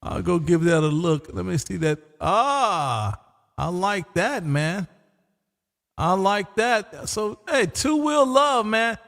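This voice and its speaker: A man hosting a live ham radio stream, chatting casually and folksy, his voice going hoarse. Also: high-pitched, expressive, slow, fairly bright tone, long reverb tail. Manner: laid-back, folksy, says "man" often. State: losing his voice. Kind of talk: casual on-air radio chat.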